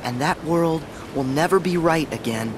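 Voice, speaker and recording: A man playing an earnest, determined teenage boy hero in an animated game, serious and a little brooding. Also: fairly high pitch, moderate pace, background noise, lightly acted. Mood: pensive.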